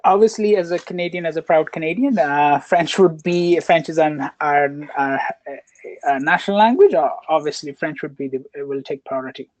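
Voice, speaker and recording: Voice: medium pitch, normal speed, a little mellow, no room sound, low-quality recording. A counselor answering questions on a webinar, talking with many fillers and restarts over a video call. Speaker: counselor and program researcher.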